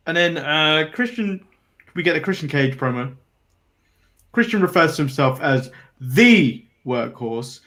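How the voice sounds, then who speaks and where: Male co-host, fairly high voice, easygoing recapper, hesitant and amused. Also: expressive, a little slow, fairly bright tone. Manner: recaps from notes, hesitant fillers, laughs. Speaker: podcast co-host. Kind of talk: casual wrestling review chat.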